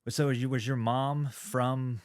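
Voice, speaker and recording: A man with a medium-pitched voice. He speaks as the host of a casual interview, in an informal conversational style. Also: steady tone, unhurried. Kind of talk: casual chat.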